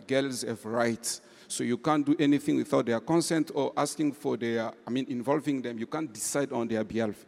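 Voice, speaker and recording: Male interpreter, measured and halting, mid pitch, hall echo. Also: darkish tone. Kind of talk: interpreting at a panel. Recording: reverberant hall.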